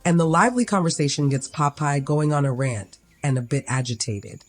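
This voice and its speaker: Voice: medium pitch, fairly quick. A narrator of ambiguous sex reading a scripted dramatic story. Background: music.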